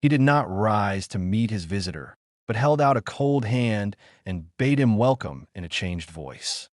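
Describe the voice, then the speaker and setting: A man narrating a dramatic audiobook in a measured, formal literary manner. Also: on the low side, animated, no room sound, clean studio sound.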